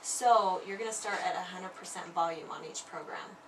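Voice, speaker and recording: A woman's voice: lowish voice, normal speed, recorded from a distance, noisy background. A woman audiologist patiently explaining device settings to a patient, checking often that she understands. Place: clinic room.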